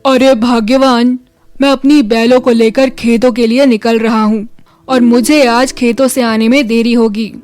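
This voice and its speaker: A woman voicing a good-natured, hardworking village farmer husband in a children's story, with loud, emphatic delivery. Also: on the high side, fairly even intonation, a little slow, soft background music, natural voice. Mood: patient, explaining. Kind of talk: children's moral story dialogue.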